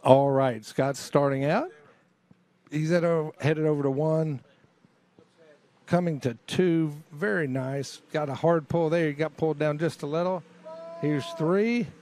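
A man commentating live on a slalom water-ski run, calling the passes with pauses and fillers. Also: on the high side, a little mellow. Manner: enthusiastic play-by-play with filler pauses.

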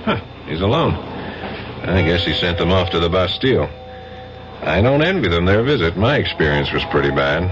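A casual American companion in a 1970s radio drama. His voice is very low and familiar in manner. The recording is muffled. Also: very animated, normal speed, music in the background. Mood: wry. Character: easygoing, attentive American man, a companion.

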